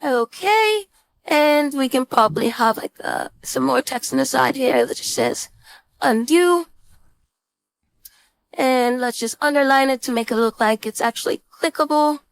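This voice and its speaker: A woman instructor giving a casual screen-share software tutorial, informally thinking aloud step by step into a close mic. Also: high-pitched, expressive, unhurried, gravelly. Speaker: design instructor.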